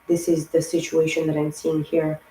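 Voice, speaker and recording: Woman tarot reader, hoarse, low voice, rambling monologue, echoey room. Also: completely flat delivery, normal speed, warm tone, a little hiss or hum. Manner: rambling, piles up words in long lists. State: hoarse, losing her voice. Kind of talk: spiritual tarot reading monologue.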